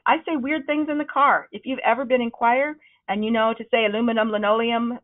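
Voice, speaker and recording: A woman leading a webinar on presenting, with a loud, projecting voice that is starting to wear out, talking in a conversational, encouraging way with frequent ums. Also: mid-range voice. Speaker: presentation trainer. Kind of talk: webinar talk. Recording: narrow, phone-like webinar audio.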